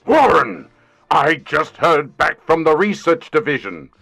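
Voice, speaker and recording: An actor playing a stern, pompous, irate boss with an emphatic delivery in a radio comedy. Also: male voice, on the high side, lively intonation, a little slow, creaky, a bit bright, dry recording, soft background music, exaggerated voice. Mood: stern, accusing. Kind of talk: modern revival of old-time radio comedy drama acting.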